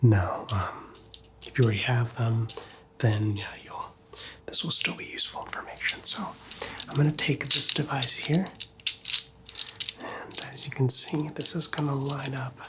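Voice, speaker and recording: A man softly whispering as a calm doctor in an ASMR roleplay, talking gently and reassuringly to the listener as a patient. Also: mid-range voice, normal speed. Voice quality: hushed, whispery, breathy.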